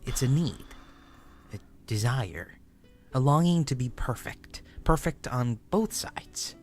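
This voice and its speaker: A man playing an obsessive, unhinged narrator in a horror story, speaking in a deliberate, halting, confessional way with stammered repeats. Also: mid-range voice, lively intonation. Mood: obsessive, confiding. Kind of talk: dramatic first-person horror story reading. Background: music.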